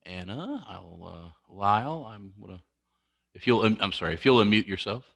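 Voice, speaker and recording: A man hosting an online meeting over a video call, halting, with many restarts. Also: fairly low pitch, expressive, creaky, a bit bright, dry recording, studio quality. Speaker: meeting host.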